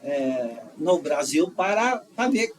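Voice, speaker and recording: Middle-aged Dutch-accented male guest, halting and searching for words, sits a little far from the mic. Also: on the high side, very animated. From Netherlands. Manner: halting, with frequent restarts and "é" fillers. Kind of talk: casual conversational storytelling. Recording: slightly distant mic with faint noise.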